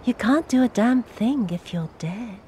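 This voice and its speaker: An actress playing a cruel, sadistic imperial official in a video game, mocking and theatrical in her drawn-out delivery. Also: on the high side, lively intonation, dark tone, a little hiss or hum. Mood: contemptuous.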